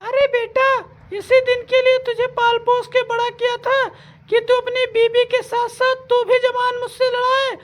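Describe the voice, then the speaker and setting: A woman's voice: very high-pitched, flat delivery, measured pace. A woman playing a bossy older village mother-in-law who nags and insults her daughter-in-law in a comic sketch. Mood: nagging. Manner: nagging, insulting, commanding. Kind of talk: comic family sketch acting. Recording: loud backing music.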